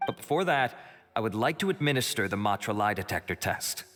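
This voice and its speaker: A man playing a dutiful, earnest investigating officer in a video game, speaking in a formal, measured, polite way. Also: fairly low pitch, animated, fairly bright tone, faint music behind. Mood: polite, firm.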